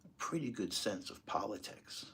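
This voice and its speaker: An American man giving impassioned, off-the-cuff commentary in a halting, emphatic way. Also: on the low side, animated, fairly bright tone, slightly rough. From United States, moved there as a small child. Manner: halting, emphatic, rhetorical questions. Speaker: political commentator.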